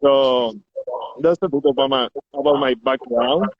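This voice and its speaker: A man's voice: mid-range voice, measured pace, no room sound. Venezuelan man speaking English, muffled and dry. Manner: hesitant, repeats words while searching for English. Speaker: interview guest. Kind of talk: casual interview.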